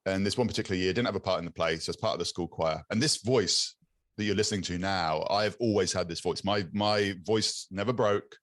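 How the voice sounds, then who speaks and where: Low, raspy British man on a call. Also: brisk, dry recording, studio quality. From England. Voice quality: low, raspy yet clear voice. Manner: repeats words while searching for them. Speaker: podcast guest. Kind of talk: casual interview chat. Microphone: video call.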